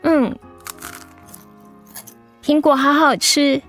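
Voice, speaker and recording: An actress playing a pouty, affectionate high-school daughter in a radio drama, girlish and frank. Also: steady tone, extremely slow, fairly bright tone, soft background music, full character voice. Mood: curious, frank.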